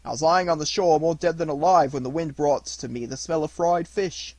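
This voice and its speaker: Man as storybook talking dog, loyal, earnest, friendly, slightly high pitch. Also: steady tone, a little fast, a little mellow, no echo, natural voice. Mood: explaining. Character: large, loyal, grateful, hungry talking dog.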